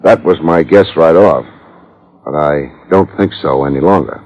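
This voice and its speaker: An actor playing a wry, tough private detective in a 1950s radio drama, sardonic and slangy in both narration and dialogue. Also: male voice, a bass voice, moderate pace, a little mellow. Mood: grim, certain. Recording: muffled old radio recording with music.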